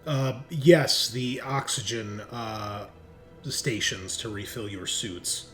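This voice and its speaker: A man's voice: fairly low pitch, slow, a bit bright, soft background music. A man acting as game master, casually improvising narration and talking with his players in a jokey way.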